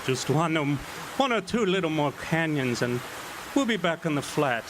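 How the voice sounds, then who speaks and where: A man's voice: on the high side, big pitch swings, moderate pace. A man playing a stubborn, cheerful middle-aged Italian immigrant farmer in a radio drama, with an Italian accent and simple, easygoing English. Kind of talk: live radio drama acting. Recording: noisy outdoor amphitheater stage recording.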